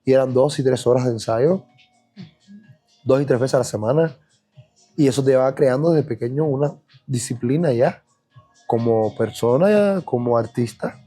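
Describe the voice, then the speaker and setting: A man from Cuba with a Cuban Spanish accent, a dancer recounting his childhood in a relaxed, reflective podcast interview, with frequent fillers and restarts. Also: medium pitch, normal speed, faint music behind. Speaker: guest dancer. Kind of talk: podcast interview, personal storytelling.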